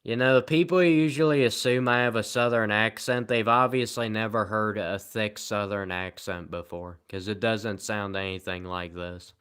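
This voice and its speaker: A tired man live streaming, rambling in a sarcastic, self-mocking way as he answers viewer comments at low energy. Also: steady tone, normal speed, hardly any pauses, fairly bright tone, smooth.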